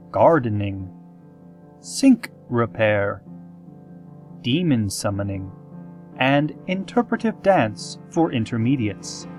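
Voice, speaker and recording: A man playing a calm, eerie small-town radio announcer, reading scripted parody news in a measured, theatrical, deadpan way. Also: mid-range voice. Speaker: radio host in a parody podcast.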